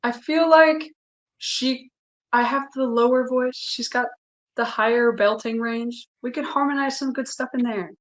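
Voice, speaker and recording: A woman's voice: fairly high pitch, a little slow. A young woman singer as an interview guest, talking openly in casual chat and laughing often. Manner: open, chatty, laughs often.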